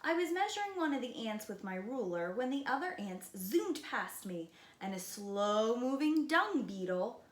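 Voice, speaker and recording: A woman with a high, lively, swooping voice reads a children's story like a preschool teacher. She leans into dramatic emphasis and drops to hushed tones for suspense. The room sounds echoey. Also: normal speed, few pauses. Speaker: preschool teacher reading a picture book aloud. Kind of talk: expressive children's read-aloud. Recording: roomy echo, light background noise.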